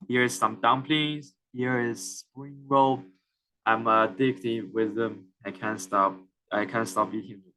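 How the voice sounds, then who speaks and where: A young man from China with a Chinese accent, giving a class presentation in a halting way with many fillers and restarts. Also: mid-range voice, sharp tone, rough recording. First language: Mandarin Chinese. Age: young adult. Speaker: student presenter.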